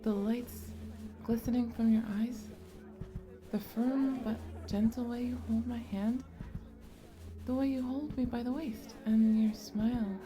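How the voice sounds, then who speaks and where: Woman acting a shy, high-voiced goblin girl. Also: fairly even intonation, unhurried, lightly acted. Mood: tender, nervous. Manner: hesitant, soft-spoken, bashful stammering. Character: shy, sweet, nerdy young goblin girl. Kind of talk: ASMR romantic roleplay acting.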